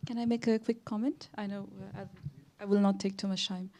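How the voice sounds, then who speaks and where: Young nepali-accented woman chiming in from the audience at a conference, informal, soft dark tone. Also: medium pitch, steady tone, brisk. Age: young adult. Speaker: audience member making a comment. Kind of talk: informal spoken comment at a conference.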